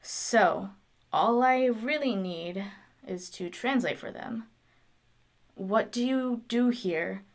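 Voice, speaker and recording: A calm, practical wanderer played by a woman speaks slowly and dryly. The delivery is measured and deadpan, helpful in tone, as in audio drama dialogue. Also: a little high.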